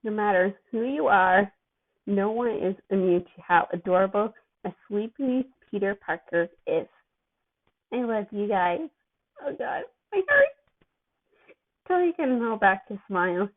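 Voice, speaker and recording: A woman giving an expressive amateur reading of a story as its narrator. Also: medium pitch. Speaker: amateur fan fiction reader. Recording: thin phone-like sound.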